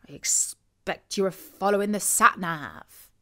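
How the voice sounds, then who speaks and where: A woman's voice: medium pitch, measured pace, pushed and bright. Woman reading a wary, matter-of-fact farmer. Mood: wry, unimpressed. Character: wary, suspicious male farmer.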